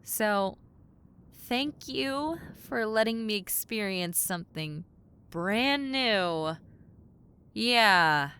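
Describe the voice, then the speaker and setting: Woman acting as a tomboy succubus, animated pitch, wry, flustered, casual, kindly demon, audio roleplay. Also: extremely slow, clean tone, exaggerated voice. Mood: flustered, grateful. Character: centuries-old tomboy succubus, exasperated but kind demon woman.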